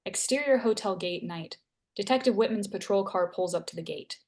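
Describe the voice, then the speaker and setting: A woman's voice: medium pitch, brisk, a little mellow. A woman reading scene headings and stage directions aloud as narrator at a screenplay table read.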